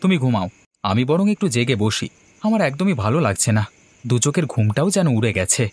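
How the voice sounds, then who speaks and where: An actor playing a polite, uneasy young man visiting a village in an animated horror story. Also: medium pitch, a little fast. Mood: uneasy, grumbling.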